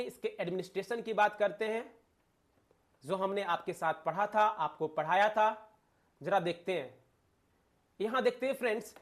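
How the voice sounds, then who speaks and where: A man with an Indian accent giving an informal exam-prep lecture to viewers, emphatic, using rhetorical questions and repeated phrases. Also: high voice. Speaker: exam-prep teacher and channel host.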